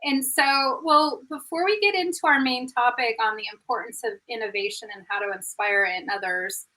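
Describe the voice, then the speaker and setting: A woman's voice: fairly high pitch, moderate pace, sharp tone, low-quality recording. A middle-aged woman hosting a live interview as a performance coach, effusive and full of praise.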